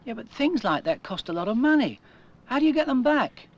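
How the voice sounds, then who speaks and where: A man's voice: extremely high pitch, big pitch swings, a little fast, dull audio, full character voice. A man voicing a cheeky, cocky, naive little cartoon robin, chatting in a 1970s public information film. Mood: sheepish, curious.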